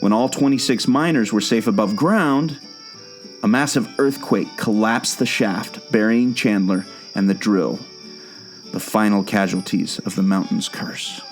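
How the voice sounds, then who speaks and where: A man's voice: fairly low pitch, unhurried, soft background music, close to an everyday voice. A man playing a knowing, patient guide who tells park legends in a scripted audio drama. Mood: explanatory, dry. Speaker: storytelling guide.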